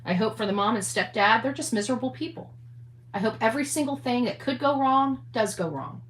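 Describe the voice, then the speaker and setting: Echoey room, woman, somewhat high pitch, casual rant, halting, repetitive, emphatic. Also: expressive, slight background noise.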